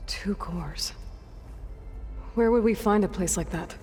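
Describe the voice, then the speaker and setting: A woman's voice: medium pitch, slow pace, darkish tone, lightly acted. A woman playing a determined, practical young adventurer in a video game cutscene, speaking earnestly and with purpose. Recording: long reverb, music underneath.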